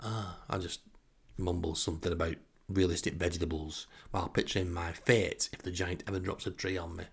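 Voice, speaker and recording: A man's voice: sits low, flat delivery, fairly quick, vocal fry, breathy edge. A man telling a first-person story in a casual, sardonic, self-deprecating way. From northern England. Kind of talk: wry first-person anecdote, casual narration.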